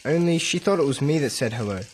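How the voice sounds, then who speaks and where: A woman's voice: sits low, animated, quick pace, darkish tone, a little hiss or hum. A woman playing a shy, lovesick teenage younger brother in a radio drama, with hesitant, reluctant short answers. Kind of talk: radio drama acting for English learners.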